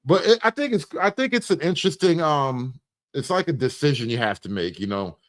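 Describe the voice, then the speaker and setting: A man hosting a livestream with casual satirical commentary, speaking in an animated, profane, mock-enthusiastic way and repeating phrases for emphasis. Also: a little high, brisk.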